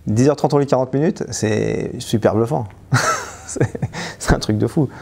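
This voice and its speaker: A man speaks in a gravelly, dark-toned voice, hesitating and restarting his sentences as he gives a spontaneous testimonial. He sounds like a coach talking off the cuff, trailing off with little filler words. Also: medium pitch, normal speed, slight background noise. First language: French. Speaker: trainer and stress coach.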